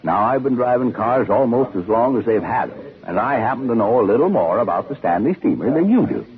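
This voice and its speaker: A man's voice: medium pitch, animated, a little fast, lightly acted. An actor playing a stubborn middle-aged father who boasts and argues in a 1950s radio drama. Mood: stubborn, boastful. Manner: boastful, opinionated, argumentative. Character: stubborn middle-aged father boasting about his old steam car. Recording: muffled, phone-like 1950s radio recording.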